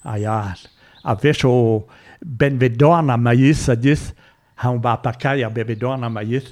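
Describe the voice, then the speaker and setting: A man's voice: mid-range voice, unhurried, a little mellow. A man chatting casually in conversation.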